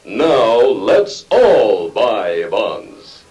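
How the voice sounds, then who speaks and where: A man's voice: lowish voice, big pitch swings, very slow. A man announcing in a formal, emphatic 1950s radio style.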